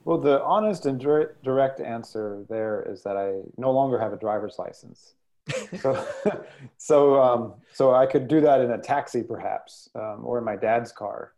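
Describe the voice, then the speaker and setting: A man speaking as an interview guest, answering in a thoughtful, measured, reflective way with literary phrasing. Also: medium pitch, mellow. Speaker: interview guest, poet and editor.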